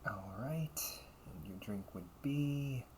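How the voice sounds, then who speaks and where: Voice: mid-range voice, animated, takes it slowly, darkish tone. Flustered delinquent butler-cafe waiter over background noise, acted out. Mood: courteous, restrained. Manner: stiffly formal service politeness, slipping into muttered threats. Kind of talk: amateur audio roleplay.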